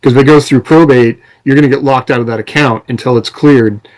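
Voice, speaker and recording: A man's voice: mid-range voice, steady tone, brisk, darkish tone, rough recording. A man co-hosting a podcast, explaining things informally in a casual chat. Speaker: podcast co-host.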